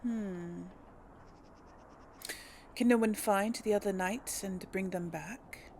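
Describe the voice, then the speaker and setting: A woman's voice: medium pitch, slow, a little mellow, a little hiss or hum. A woman hosting a gaming video, musing to herself and reading dialogue choices aloud in casual role play. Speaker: gaming video host playing in character.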